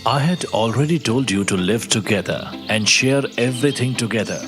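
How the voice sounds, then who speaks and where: A voice actor playing a wise old talking walnut tree in a cartoon story, calm and lecturing. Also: mid-range voice, normal speed, lightly acted. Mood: gentle. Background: music.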